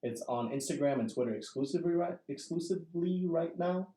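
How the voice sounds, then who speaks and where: Laid-back young man, mellow and echoey. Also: on the high side, fairly quick. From Indonesia. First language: Indonesian. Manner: animated, slangy, acts out his own reactions. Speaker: podcast guest, poet. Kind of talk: casual chat.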